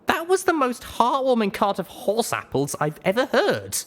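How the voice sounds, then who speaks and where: A performer voicing a feisty, high-pitched young pony mare. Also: female voice, wildly swooping pitch, moderate pace. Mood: accusing, defiant. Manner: confrontational, demanding.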